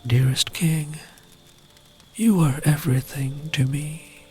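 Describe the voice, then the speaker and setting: Man voicing a hushed, higher-pitched guilt-racked demon. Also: steady tone, takes it slowly, faint music behind, heavily acted. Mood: tender, sorrowful. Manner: hushed, breathy, pleading. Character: guilt-racked demon, devoted friend of a king. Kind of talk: ASMR bedtime storytelling.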